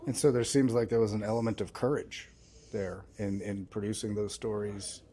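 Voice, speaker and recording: A man's voice: fairly low pitch, fairly even intonation, moderate pace, dark tone. A man working as an interviewer, asking conversational questions in an agreeable, affirming, slightly tentative way.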